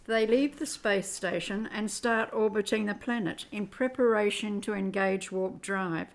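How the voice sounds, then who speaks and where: A woman's voice: medium pitch, measured pace, vocal fry. A woman reading scripted narration for an amateur radio play.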